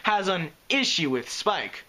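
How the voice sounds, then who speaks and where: Teasing prim unicorn girl in a storybook reading, high and lively, narrator voicing her. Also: slow, exaggerated voice. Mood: snarky. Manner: pointed, teasing. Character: prim, snarky young unicorn filly.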